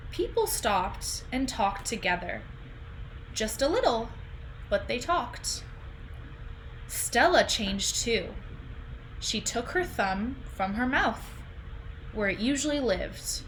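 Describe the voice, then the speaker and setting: Female teacher, thirties, raised pitch and very slow delivery, simple storytime style for little kids, home recording. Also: fairly bright tone, poor audio. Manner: questioning, explaining simply to children. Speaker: teacher reading a picture book aloud. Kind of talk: storybook read-aloud for young children. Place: indoors in a home room. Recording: clear background noise, home video call.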